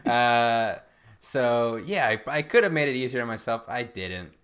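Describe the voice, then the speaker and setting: A man's voice: medium pitch, a little slow, fairly bright tone. A young man chatting casually as a podcast co-host, jokey, informal and self-deprecating. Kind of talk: casual comedic chat.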